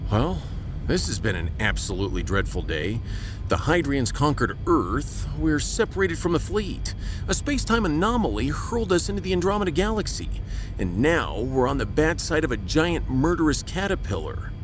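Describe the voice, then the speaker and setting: A confident starship captain talks with wry, folksy command in a sci-fi audio drama. The voice is a little high and animated, over a clear rumbling ship hum. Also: male voice, moderate pace. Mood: weary. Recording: rumbling spaceship hum behind.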